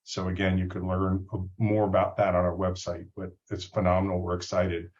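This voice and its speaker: A man with a somewhat low voice talks enthusiastically through a video call. He fills his pauses with ums and uhs as he gives casual webinar remarks. The call audio is dull and lo-fi. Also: completely flat delivery, a little mellow. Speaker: board president of an advisors' association. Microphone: audio only on a video call.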